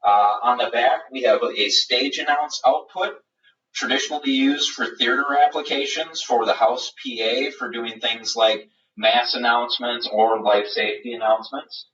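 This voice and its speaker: A man's voice: mid-range voice, fairly even intonation, normal speed, fairly bright tone. A man giving a methodical, explanatory live webinar product demonstration. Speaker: product presenter. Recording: roomy, distant, dull webinar audio.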